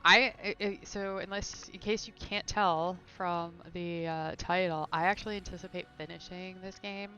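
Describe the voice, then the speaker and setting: Woman, lowish voice, chatty, thinking aloud, casual game stream, home room. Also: steady tone, normal speed, hardly any pauses, pushed and bright, soft background music, poor audio. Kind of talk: casual live gameplay chat.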